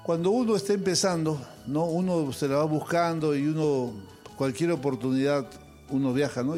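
A man's voice: mid-range voice, mellow. Older man telling stories. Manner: anecdotal storyteller, acts out quoted voices. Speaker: host. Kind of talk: casual conversation among musicians.

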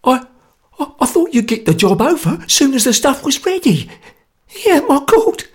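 Panicky stammering coward, squeaky and whining, swoops high and low, gravelly edge, as a reader puts him on. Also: male voice, sing-song, unhurried, full character voice. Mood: frightened. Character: terrified cowardly male anarchist, whining.

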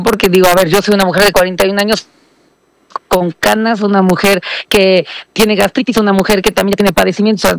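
A woman's voice: mid-range voice, slight background noise. A woman, answering interview questions over a remote link, explaining and apologizing. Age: 40s. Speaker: lawmaker interviewed as guest. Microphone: remote call link.

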